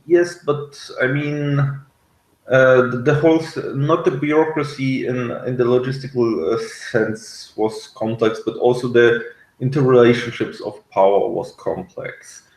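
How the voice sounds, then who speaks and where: A man in casual discussion, speaking hesitantly and often pausing to search for words. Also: medium pitch, reverberant, poor audio. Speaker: podcast reviewer and discussion panelist.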